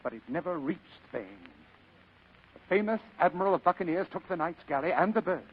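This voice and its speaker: A muffled old radio recording carries a fat, scheming crime boss. His voice is husky and slightly high, and he savors every phrase with florid, pompous courtesy, like a genteel villain in classic radio drama. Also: expressive, a little fast. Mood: grand, savoring. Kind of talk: 1940s-style radio acting.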